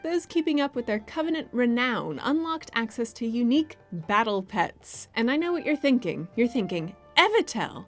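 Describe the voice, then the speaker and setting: A woman co-hosting a scripted gaming news show with sarcastic, deadpan humor. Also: on the high side. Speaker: co-host.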